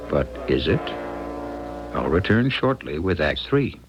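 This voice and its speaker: A man hosting a radio mystery drama, musing on the macabre in a deliberate, teasing, theatrical way. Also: rumbling low, big pitch swings, heavily acted. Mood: wry, ominous. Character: wry, macabre male mystery host musing on death. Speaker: host and narrator of a radio mystery series. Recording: dull 1970s radio broadcast, music behind.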